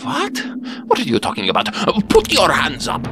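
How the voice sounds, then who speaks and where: A woman's voice: lowish voice, wildly swooping pitch, normal speed. A woman playing a flustered young male German private in an audio drama, startled and hesitant in delivery. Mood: alarmed. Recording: music under the voice.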